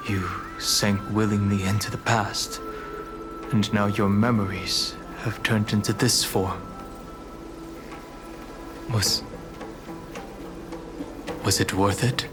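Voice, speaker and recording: An actor playing a calm, resolute immortal warrior with dragon blood in a video game, speaking in a grave, restrained way. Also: male voice, lowish voice, slow pace, long pauses. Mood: grave, questioning. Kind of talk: dramatic video game voice acting. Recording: music under the voice.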